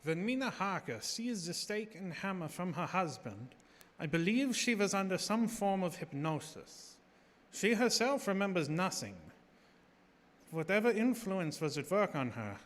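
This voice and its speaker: A man playing an impassioned, grave vampire hunter in gothic radio horror, speaking in an emphatic, formal, theatrical way. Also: high-pitched. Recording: echoey stage hall, long reverb.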